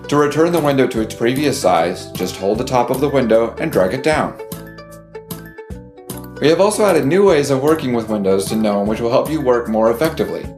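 A man's voice: medium pitch, a little fast. Man reading a tutorial over music. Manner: even, read-aloud delivery. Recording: played video in a roomy hall with music.

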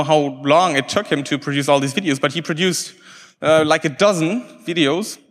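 Halting German-speaking man in a hall. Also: fairly high pitch, pushed and bright. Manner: halting, many restarts and fillers. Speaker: conference speaker. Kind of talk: conference talk. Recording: hall reverb from a talk venue.

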